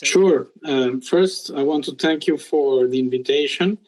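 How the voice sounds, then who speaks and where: A middle-aged man from Peru, a guest telling his career story in an interview, with many pauses and restarts. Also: on the high side, a little mellow, low-quality recording. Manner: hesitant, many ums and restarts. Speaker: guest entrepreneur. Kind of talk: casual interview talk.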